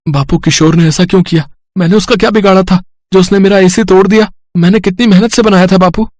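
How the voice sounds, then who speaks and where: A man's voice: high-pitched, fairly even intonation, quick pace, a little mellow, no echo, studio quality, lightly acted. A man voicing an earnest, inventive poor village boy in an acted moral story. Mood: hurt.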